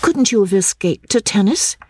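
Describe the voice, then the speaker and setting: Young society Englishwoman for a novel reading, voiced by a female narrator: earnest, gentle, lifted pitch, lively swoops. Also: moderate pace, husky, no echo. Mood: exasperated.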